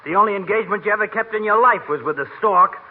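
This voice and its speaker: A caustic small-town doctor played by a man in a 1940s radio comedy. He needles his friend with florid sarcasm in a fairly high voice. The old broadcast sounds tinny and a little noisy. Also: fast, lightly acted. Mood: sarcastic.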